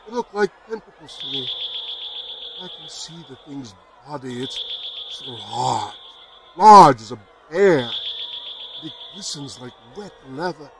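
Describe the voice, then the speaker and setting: Over a clearly noisy field broadcast, a frightened male radio reporter gives eyewitness commentary in old 1930s radio drama style. His voice sits fairly high for a man. He speaks very slowly and haltingly, in awe, with dramatic pauses. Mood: horrified awe. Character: awestruck, increasingly terrified male radio news reporter.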